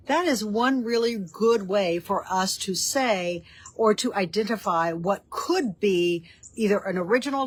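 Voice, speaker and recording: A woman with a stuffy, nasal voice from a cold, talking through a study of ancient texts. She speaks earnestly and unhurriedly, stopping on ums and restarting her sentences as she thinks aloud. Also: mid-range voice. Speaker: host of a Torah study show. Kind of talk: informal teaching talk.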